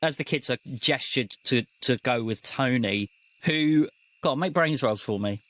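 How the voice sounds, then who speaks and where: A man's voice: mid-range voice, moderate pace, noisy background. A man narrating an improvised tabletop roleplaying game as game master.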